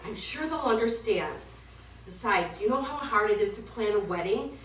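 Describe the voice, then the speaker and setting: A woman's voice: mid-range voice, animated, moderate pace, fairly bright tone, natural voice. A woman playing a defensive wife who reasons with and chides her husband in an amateur stage play. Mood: placating. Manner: reasoning, mildly chiding, defensive. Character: defensive, placating wife, a wedding guest. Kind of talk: amateur one-act stage play acting. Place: indoor theatre studio, heard from afar. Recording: roomy distant stage recording, clear noise.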